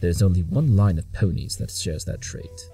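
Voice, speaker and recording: A man's voice: deep voice, lively intonation, moderate pace, gravelly, mellow, faint music behind. An actor playing a brilliant, imperious detective in an audio drama, condescending and impatient as he lays out deductions. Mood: smug, explaining. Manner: clipped deductive monologues, condescending.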